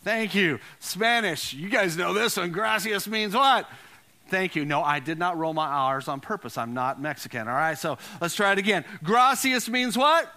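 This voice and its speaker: A man's voice: high-pitched, lively intonation, moderate pace, bright, forceful tone. A man preaching a conversational sermon as a pastor, repeating phrases for emphasis and putting questions to his listeners.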